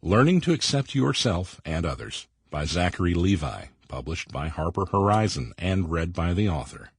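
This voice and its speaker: Man, deep voice, lively intonation, scripted audiobook announcement, dry studio sound. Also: moderate pace, husky, no echo.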